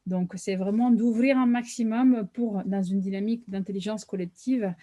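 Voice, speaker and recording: A woman's voice: medium pitch, steady tone, moderate pace, dark tone. A woman with an Italian accent co-presenting a webinar, explaining a workshop technique fluently.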